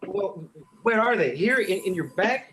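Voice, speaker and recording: Guy doing a breezy kid brother in a radio play, eager, bouncy pitch, bit of noise behind. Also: expressive, normal speed, fairly bright tone. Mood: curious, hurried. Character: Harry, breezy, eager teenage younger brother. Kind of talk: old-time radio play acting. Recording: faint background noise.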